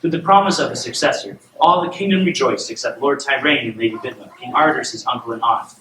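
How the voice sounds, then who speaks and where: A man playing a warm, firm father who reads his daughter bedtime stories, in scripted drama. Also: mid-range voice, fairly quick, close to an everyday voice. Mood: storytelling. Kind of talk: scripted drama dialogue and storybook reading. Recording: roomy, distant, noisy room sound.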